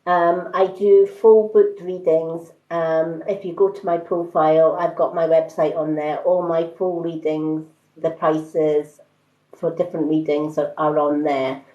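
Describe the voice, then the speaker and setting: A woman chatting casually to live-stream viewers as a psychic card reader, with a calm, soothing delivery. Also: sits low, measured pace. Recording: roomy, slightly dull livestream audio.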